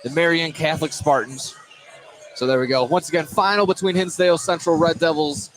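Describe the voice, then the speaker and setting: A man's voice: fairly high pitch, fairly even intonation, fairly quick, a faint crowd. A man doing informal live play-by-play basketball commentary, sometimes stumbling and correcting himself. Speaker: play-by-play sports announcer. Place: indoor basketball gym. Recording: noisy live gym broadcast.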